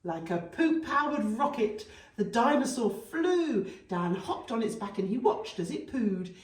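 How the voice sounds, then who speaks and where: A woman reading a children's story aloud as a nursery storyteller, in an animated, dramatic way for young listeners. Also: on the high side, measured pace. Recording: echoey room, distant mic.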